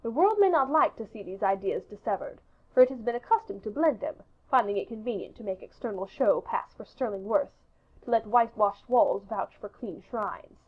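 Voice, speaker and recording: A woman's voice: a little high, animated, normal speed, lo-fi sound. A woman reading a literary audiobook in a formal, precise and emphatic way. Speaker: audiobook narrator. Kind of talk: scripted literary audiobook narration.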